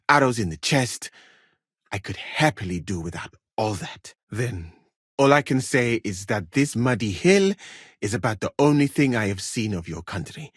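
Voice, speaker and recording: An actor playing a learned, well-travelled West African physician in a video game, speaking formally and precisely with dry wit and a husky voice. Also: male voice, on the low side, no room sound. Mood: dryly ironic. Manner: formal, measured, precise diction with dry irony. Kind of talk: video game character dialogue.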